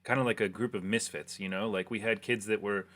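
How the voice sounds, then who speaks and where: A man's voice: on the low side, steady tone, fairly bright tone. A young American man hosting a casual conversation, talking loosely with plenty of fillers. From United States. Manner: quick, loose, with many fillers. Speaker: podcast host.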